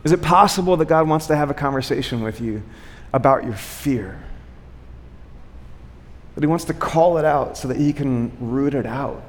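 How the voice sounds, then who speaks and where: A male preacher with a fairly high voice speaks in a large hall with long reverb. He delivers his sermon conversationally, posing questions, repeating key phrases for weight and checking in with his listeners. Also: moderate pace. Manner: rhetorical questions, repeated phrases for emphasis, frequent "right?" check-ins.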